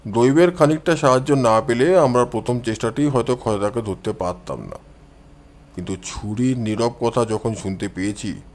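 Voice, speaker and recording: A man voicing a calm, confident male detective in an audio story, explaining deductions in a measured, self-assured manner. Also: on the low side, pitched below the performer's own voice, close to an everyday voice.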